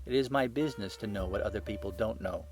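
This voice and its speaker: A man voicing a shrewd gentleman detective in a storybook, bland, courteous and precise. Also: lowish voice, quick pace, faint music behind, close to an everyday voice. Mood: bland, assured. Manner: bland, precise, self-assured.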